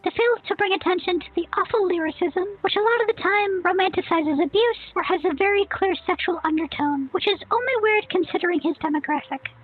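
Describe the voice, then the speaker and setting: A woman's voice: very high voice, brisk, few pauses. A woman voicing a smug young boy savant who hosts a snarky scripted commentary rant. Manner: smug, snarky, self-assured. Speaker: commentary channel host. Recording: tinny phone-like sound, faint music.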